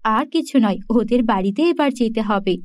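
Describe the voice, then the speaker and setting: A woman's voice: fairly high pitch, lively intonation, normal speed, dry recording, clean studio sound, voice pushed down, close to an everyday voice. A woman voicing a gracious, approving female fairy in a cartoon fairy tale. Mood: gracious, impressed. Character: gracious, approving female fairy who rewards good people.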